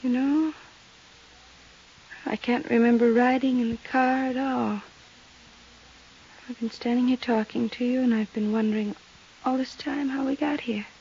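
An actress playing a distraught, fragile widow in a 1950s radio drama, hesitant and drifting. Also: female voice, a little high, steady tone, measured pace, pauses often, mellow. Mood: wistful, confused. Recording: dull, hissy 1950s radio recording.

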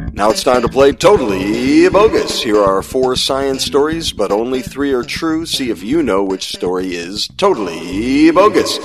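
An American man hosting a podcast, interviewing and presenting in an articulate, conversational way with somewhat wordy phrasing. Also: fairly low pitch.

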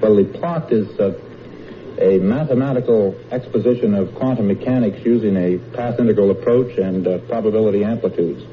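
A man answering as a quiz show contestant in a dry, deadpan way. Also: fairly low pitch, a little fast. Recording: tinny old broadcast with hiss.

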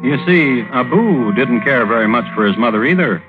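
An actor playing a wry storyteller, narrating in a measured, conversational vintage radio style. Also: male voice, mid-range voice, lively intonation, over music, natural voice. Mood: wry storytelling. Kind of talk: 1950s radio scripted narration. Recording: muffled, noisy old radio recording.

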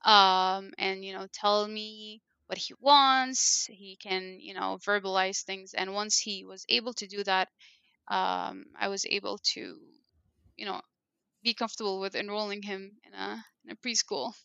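A woman speaks with a bright, clear and crisp tone. She rambles casually through her answers, restarting sentences and leaning on fillers. The audio is a little muffled and lo-fi, with a dry, close sound. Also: medium pitch, steady tone, measured pace, no echo. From Egypt. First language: Egyptian Arabic. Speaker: guest, parenting consultant. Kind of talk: casual interview conversation.

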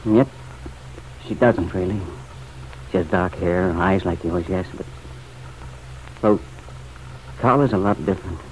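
An actor playing a torn, stubborn farmer in a vintage radio drama, plain-spoken, hesitant and guarded. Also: male voice, deep voice, unhurried, long pauses. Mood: wistful. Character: torn, stubborn farmer still pining for his wife. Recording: dull, noisy old radio recording.

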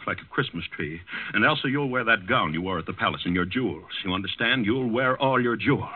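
A man's voice: lowish voice, expressive, fast, slight background noise, natural voice. Man playing a haunted, agitated count, tinny radio. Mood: boastful, rising. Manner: agitated, insistent, repeating himself. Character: nervous, boastful, haunted male count on edge. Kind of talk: 1940s radio acting. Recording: tinny old 1940s radio recording.

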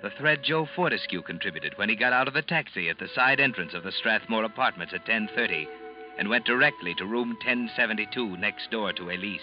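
A man playing a sardonic, knowing narrator of a 1940s radio thriller, speaking deliberately and ominously. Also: on the low side, lively intonation, natural voice. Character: sardonic, omniscient male narrator addressing the guilty character. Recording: muffled old radio recording, loud music behind.

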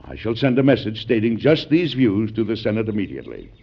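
An american president in an old radio play, stubborn and principled, big swings in pitch, gravelly edge, speechy, lo-fi sound. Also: male voice, very animated, quick pace, over loud music. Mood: resolute, stately. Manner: formal, emphatic, oratorical. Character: resolute, principled male American president in a history drama. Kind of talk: old-time radio drama acting. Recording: dull, lo-fi classic radio recording.

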